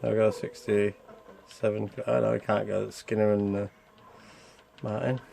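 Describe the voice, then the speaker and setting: A man's voice: lowish voice, little pitch movement, unhurried, vocal fry, warm tone. A man giving casual, chatty commentary over gameplay, thinking aloud and asking himself questions as a reviewer. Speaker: video game reviewer.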